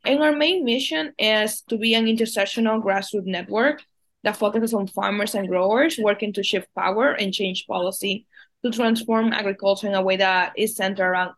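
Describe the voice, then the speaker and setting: Earnest young woman from the Dominican Republic. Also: medium pitch. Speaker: guest, farm policy advocate. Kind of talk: casual interview answers.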